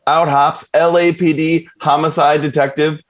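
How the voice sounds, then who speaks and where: A man's voice: on the high side, little pitch movement, normal speed. A man narrating stage directions from a script at a television table read.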